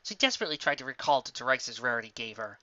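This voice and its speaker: A woman narrating a story in an expressive amateur reading style. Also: a bass voice, very fast, no echo, highs cut off.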